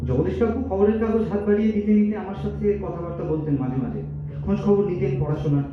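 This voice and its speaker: Man acting earnest fast-talking young clerk, echoey. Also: on the high side, close to an everyday voice. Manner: deferential, impassioned, speechifying. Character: earnest, principled young male accountant, humble yet defiant. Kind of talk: amateur stage audio drama acting. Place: multipurpose hall stage. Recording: distant echoey hall, dull, faint noise.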